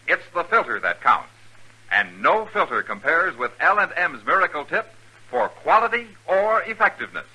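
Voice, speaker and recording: A man's voice: fairly high pitch, sing-song, moderate pace, bright, forceful tone, slightly rough, low-quality recording. An American man announcing a commercial in the style of 1950s radio advertising. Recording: vintage 1950s radio broadcast.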